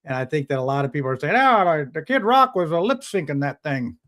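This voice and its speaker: A man's voice: a little high, very animated, brisk, a bit bright. A man hosting a casual panel talk show, rambling with many restarts and frequent chuckles. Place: outdoors in the sun.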